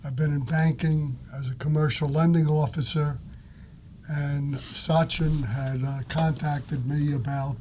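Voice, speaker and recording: An older man with a New York accent who speaks haltingly, with many fillers. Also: fairly high pitch, fairly even intonation, warm tone, slight background noise. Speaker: guest, lender. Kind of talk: interview answers.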